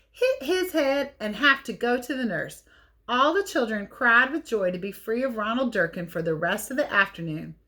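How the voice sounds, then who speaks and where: Animated woman teacher reading a storybook. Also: on the high side. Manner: expressive, playful, chatty commentary on the pictures. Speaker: teacher reading a picture book to children. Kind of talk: animated read-aloud storytelling with casual asides.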